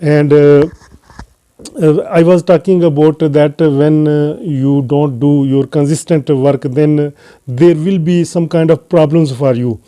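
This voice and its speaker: A man with a Pakistani accent hosting an English-learning channel, talking casually to camera and pausing often on "uh" fillers. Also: on the high side, fairly even intonation, warm tone. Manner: hesitant, frequent "uh" fillers between phrases. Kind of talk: casual unscripted talk to camera.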